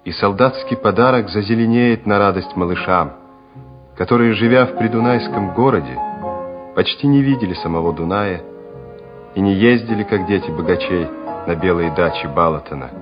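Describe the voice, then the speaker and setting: Low-voiced male radio drama narrator. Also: normal speed. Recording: muffled old radio recording, faint music.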